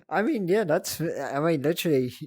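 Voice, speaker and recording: A casual livestream host who talks at an unhurried pace and thinks aloud. The delivery is chatty and prone to giggles, with a mid-pitched voice. It is captured close and dry, with very little room sound. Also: a little mellow, breathy edge, no room sound. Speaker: livestreamer hosting a reaction stream.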